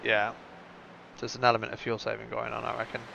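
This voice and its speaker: A man's voice: medium pitch, monotone, quick pace, creaky. A man hosting a live sim racing stream with casual, conversational commentary, thinking aloud as he goes. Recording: muffled lo-fi audio with background noise.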